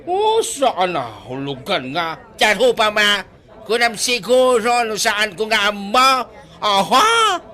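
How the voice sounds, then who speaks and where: Actress as nosy neighbour, sharp bright voice, mocking, teasing, exclamatory, comedy drama. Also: female voice, medium pitch, big pitch swings, slow pace, full character voice. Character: mocking, gossipy neighbour who teases and scolds. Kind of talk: Ilocano comedy drama acting.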